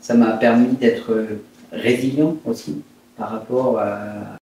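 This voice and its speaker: A man in his fifties from Brittany, France, telling his life story in an interview in a hesitant, reflective, halting way. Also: mid-range voice, steady tone, mellow. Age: 50s. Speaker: interviewed entrepreneur. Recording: very echoey room, distant mic, faint noise.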